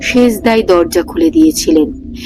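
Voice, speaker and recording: A woman's voice: fairly low pitch, a little slow, rough recording. A woman narrating a scripted ghost story in a dramatic storytelling style. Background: music.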